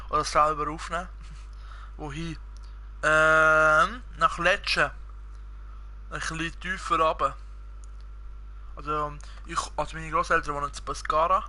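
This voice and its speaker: A man's voice: fairly high pitch, bright, forceful tone. A young man with a Swiss German accent, chatting casually with viewers while he streams a video game, rambling with many fillers. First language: Swiss German and Italian. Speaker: gaming streamer. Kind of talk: casual live-stream chat while gaming.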